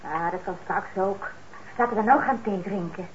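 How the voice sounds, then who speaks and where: An actress playing a fretful, bossy but caring middle-aged daughter in a radio play, nagging briskly. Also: female voice, medium pitch, close to an everyday voice. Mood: scolding. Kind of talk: Dutch radio play acting. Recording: dull, noisy old radio recording.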